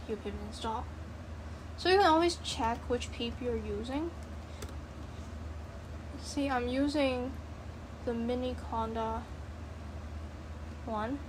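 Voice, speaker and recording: A woman's voice: on the high side, fairly even intonation, takes it slowly, long pauses, background noise. A woman presenting a casual programming tutorial, thinking aloud in an informal, blunt, rambling way and often interrupting herself.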